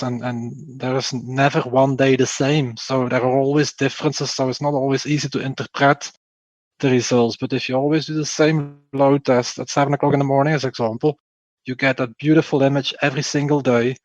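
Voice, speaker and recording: Man, Flemish accent, mid-pitched voice, emphatic explainer, podcast guest, dry lo-fi recording. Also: no echo. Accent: Flemish Belgian. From Belgium, Flanders. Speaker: podcast guest, performance testing engineer. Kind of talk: explanatory interview talk.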